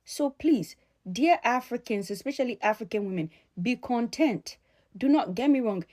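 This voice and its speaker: A woman speaking straight to her audience in an opinion vlog, emphatic and admonishing, repeating key phrases deliberately. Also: fairly high pitch, expressive, warm tone. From Ghana. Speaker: sociologist addressing followers.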